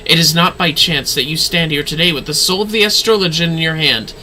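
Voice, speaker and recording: A man's voice: a little high, brisk, sharp tone, a little hiss or hum, voice pushed up, low-quality recording. A man voicing a solemn, kindly mystic mentor, reading game dialogue aloud in an earnest, theatrical way. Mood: solemn, reassuring.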